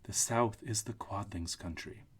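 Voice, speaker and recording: A man's voice: fairly low pitch, unhurried, fairly bright tone, husky, full character voice. A man voicing a solemn, helpful little Munchkin man giving grave information in a storybook read-aloud.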